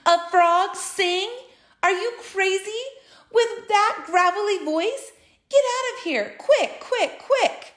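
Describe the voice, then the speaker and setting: A woman doing a brisk, impatient fox. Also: very high voice, lively intonation, a bit bright, voice pushed up, exaggerated voice. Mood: impatient, showy. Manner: brisk, dismissive. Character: impatient, slick male fox showman in charge. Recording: roomy echo.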